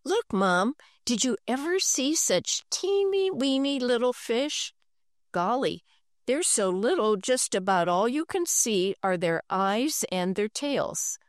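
A woman reading aloud and voicing an eager, excited young boy in a storybook. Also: fairly high pitch, measured pace, dry recording, studio quality, pitched above the performer's own voice. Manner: eager, pleading.

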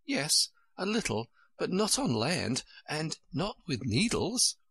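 Man voicing a polite little girl, pitched high. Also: sing-song, slow, fairly bright tone, breathy edge, dry recording, full character voice. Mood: tentative, curious. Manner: polite, earnest, pleading. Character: polite, eager, easily puzzled little girl. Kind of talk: storybook character voice.

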